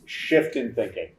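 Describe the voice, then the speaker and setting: A man's voice: on the low side, roomy sound, slight background noise, low-quality recording. A man on a town selectboard, talking in a hesitant, agreeable way with many restarts during a meeting. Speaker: selectboard member. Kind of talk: meeting discussion.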